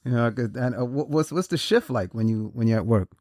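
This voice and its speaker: A man hosting a podcast interview in a casual, halting way, often restarting and repeating words. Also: mid-range voice, darkish tone, no echo, studio quality. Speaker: podcast host.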